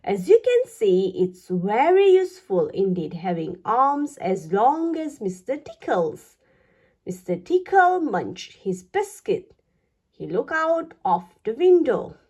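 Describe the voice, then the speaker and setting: Slow, lively woman reading a children's story. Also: on the high side, slightly rough. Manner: expressive, deliberate phrasing for young listeners. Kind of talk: read-aloud children's storytelling.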